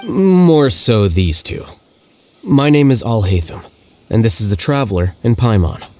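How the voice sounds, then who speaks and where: An actor playing an aloof, blunt scholar in a video game, with a mellow voice and a cool, dry, formal manner. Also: male voice, mid-range voice, animated, a little slow, faint music behind.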